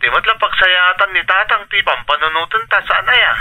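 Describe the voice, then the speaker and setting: A man's voice: high-pitched, animated, normal speed, sharp tone, heavily acted, rough recording. A man with an Ilocano accent playing a concerned, frustrated elder brother through a telephone filter in a radio drama. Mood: resigned, concerned.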